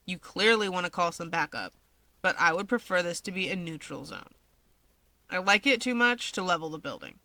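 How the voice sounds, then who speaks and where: A woman's voice: on the low side, animated, normal speed, bright, forceful tone, no room sound. A woman voicing a bossy, sardonic young male villain and big brother in a story reading, dryly threatening and teasing. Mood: smug, dry.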